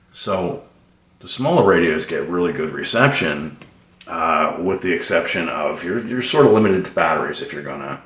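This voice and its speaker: A man giving a casual, unscripted how-to explanation in a hesitant, rambling way with many pauses. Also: low voice, fairly bright tone. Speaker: presenter of a radio tips video. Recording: roomy, echoing sound.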